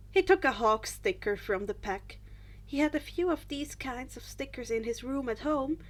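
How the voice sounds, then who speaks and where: A woman narrating fan fiction as an amateur audiobook reader, reading from a script with the odd stumble. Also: on the high side.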